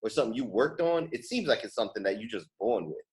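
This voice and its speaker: Lively American man hosting a casual podcast. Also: on the low side, quick pace. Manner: slangy, interjects affirmations, repeats questions emphatically. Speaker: podcast host. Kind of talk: casual interview chat.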